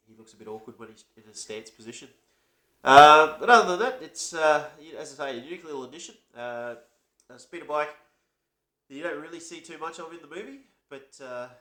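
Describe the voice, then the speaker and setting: A man's voice: medium pitch, reverberant. Man with a cold reviewing toys. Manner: relaxed, hesitant with many fillers. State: has a cold. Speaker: toy reviewer. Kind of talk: casual toy review.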